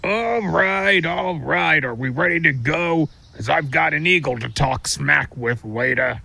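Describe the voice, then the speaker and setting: A man voicing a giant, proud, impatient squirrel creature with a gruff manner in an audio drama. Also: a little high, unhurried, sharp tone, no room sound, exaggerated voice. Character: giant grumpy male squirrel creature, proud of his size.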